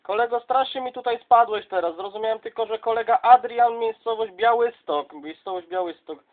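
A man's voice: extremely high pitch, moderate pace. A man from southern Poland making casual, chummy contact as a CB radio operator, addressing others as colleagues. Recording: dull, lo-fi sound.

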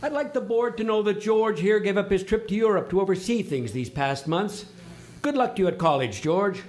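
A man's voice: sits high, animated, moderate pace, darkish tone. An actor playing a formal board chairman running a meeting in an amateur radio play. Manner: formal, presiding.

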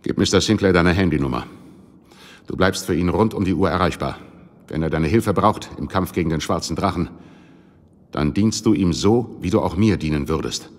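A very low voice rings with long reverb. a grieving, vengeful businessman and stern patriarch in a radio drama, speaking formally and with measured command. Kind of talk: German radio drama acting.

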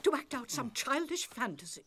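A woman's voice: sits high, sing-song, normal speed, gravelly, a bit bright, slightly rough, full character voice. A woman playing a stern older education official in a cartoon, with a British accent and a formal, disdainful manner. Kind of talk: animated cartoon acting.

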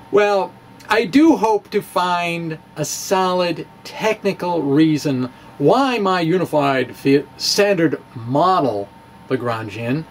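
A middle-aged man giving a dramatic reading of a science blog to camera, theatrical and emphatic, with halting phrasing and stretched words. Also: fairly high pitch, a little hiss or hum. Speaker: amateur physicist and video blogger. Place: small indoor room with bookshelves. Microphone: handheld-style microphone on a stand, close in front.

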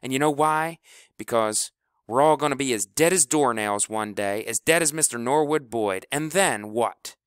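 A man's voice: mid-range voice, moderate pace, clear, resonant tone, dry recording, clean studio sound, pitched above the performer's own voice, close to an everyday voice. A man voicing an earnest boy captain who speaks clipped, sure and urgent. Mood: urgent, insistent. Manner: urgent, insistent.

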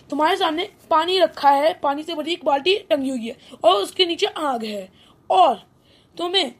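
A woman voicing an interviewer who poses riddles in a children's cartoon quiz. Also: extremely high pitch. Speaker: quiz host.

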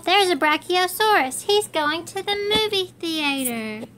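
A woman voicing a keen, excited little boy superhero in a children's storybook. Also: very high voice, unhurried, slight background noise, voice pushed up, full character voice. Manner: excited, shouting out.

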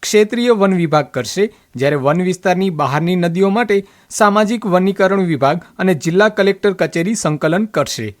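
A man with a Gujarati accent reading a scripted radio news report as a reporter. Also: high-pitched.

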